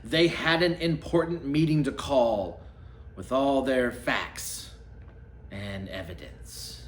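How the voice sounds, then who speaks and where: A man reading a storybook aloud with a theatrical, deliberate delivery. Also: medium pitch. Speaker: storybook reader. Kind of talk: animated read-aloud storytelling. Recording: echoey room, faint background noise.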